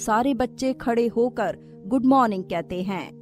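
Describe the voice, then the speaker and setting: A woman's voice: a little high, moderate pace, darkish tone, soft background music. A woman reading a scripted cartoon story as its narrator.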